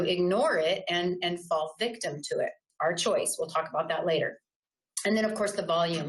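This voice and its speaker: Loud, chatty woman presenting a webinar. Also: fairly low pitch, rough recording. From Southern United States. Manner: enthusiastic, conversational, often asks "right?"; loud voice that carries.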